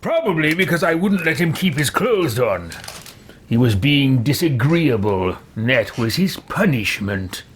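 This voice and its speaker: A man with a mid-pitched voice improvises role-play as a game host. His delivery is unhurried and very animated in pitch, with a haughty, dismissive air. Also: slight background noise.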